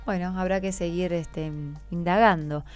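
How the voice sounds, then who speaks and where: An Argentine woman with a Rioplatense accent hosts an informal radio interview. Her voice sits a little low. She is patient and encouraging, drawing people out with questions, with soft music in the background. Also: fairly even intonation, normal speed, smooth. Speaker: radio host interviewing schoolchildren.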